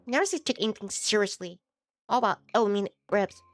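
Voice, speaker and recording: A woman's voice: mid-range voice, expressive, quick pace, no room sound. A woman streamer casually narrating a visual novel as she reads it aloud. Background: music.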